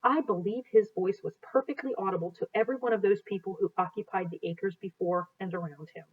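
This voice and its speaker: A woman's voice: medium pitch, a little fast, husky. An American woman reads a history book aloud as a teacher, in an explanatory, teacherly way. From United States. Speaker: teacher reading aloud to students. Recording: dull, lo-fi sound.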